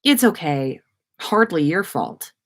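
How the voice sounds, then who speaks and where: A woman voicing a shy, kindly schoolboy with a slightly gruff, hesitant manner in a read-aloud story. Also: on the low side, big pitch swings, exaggerated voice. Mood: gruff, uneasy. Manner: hesitant, halting.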